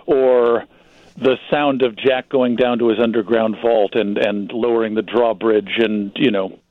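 Male radio guest with a mid-pitched voice, explaining conversationally over a thin, narrow line. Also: steady tone, measured pace, no room sound. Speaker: guest, magazine publisher and broadcaster. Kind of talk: conversational commentary.

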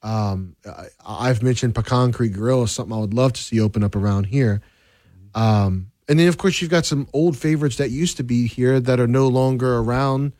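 A man from southern Louisiana hosting a casual radio talk show with a softer voice and a halting, conversational way of talking, close on a studio mic. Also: mid-range voice, dry recording. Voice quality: softer, less projecting voice. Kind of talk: casual radio chat with listener messages. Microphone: close studio microphone.

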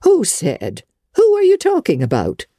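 A woman voicing a stern older spinster guardian who scolds briskly and sarcastically. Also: fairly high pitch, wildly swooping pitch, warm tone, dry recording, studio quality, pitched above the performer's own voice, exaggerated voice. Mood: scolding. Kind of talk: audiobook character reading.

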